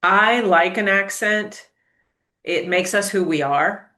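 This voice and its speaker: Bright-voiced American woman tutor. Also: medium pitch, slow pace, echoey room. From United States. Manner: emphatic, encouraging, gives firm advice. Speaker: English tutor. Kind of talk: casual interview.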